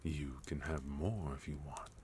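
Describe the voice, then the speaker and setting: A man's voice: rumbling low, a little slow, a little mellow, a little hiss or hum. A man playing a sophisticated villain leader in a fantasy ASMR roleplay, polite, formal and soothing as he dotes on a captive guest. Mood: gentle, doting.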